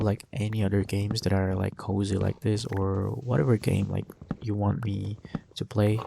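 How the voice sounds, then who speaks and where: Low gravelly young man, Indonesian accent. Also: noisy background. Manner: rambling, many fillers and restarts. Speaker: gaming channel host. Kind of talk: relaxed chat while playing a game.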